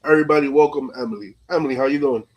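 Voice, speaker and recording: A man's voice: fairly high pitch, very fast, lo-fi sound. A man hosting an interview podcast in casual, slangy speech, often dropping in "like" and "you know". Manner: informal, peppered with "like" and "you know". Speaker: podcast host.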